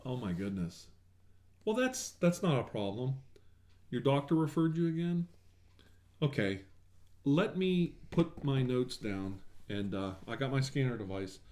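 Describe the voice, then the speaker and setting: A man's voice: mid-range voice, big pitch swings, a little slow, a little mellow. A man doing an ASMR roleplay as a medical examiner, talking in a reassuring, attentive bedside manner.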